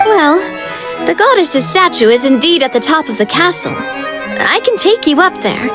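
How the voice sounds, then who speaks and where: A woman's voice: sits high, very animated, moderate pace. A woman playing a confident, upbeat young female leader in a video game, breezy and self-assured in her delivery. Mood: matter-of-fact. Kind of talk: animated game dialogue. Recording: music playing behind the voice. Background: game music.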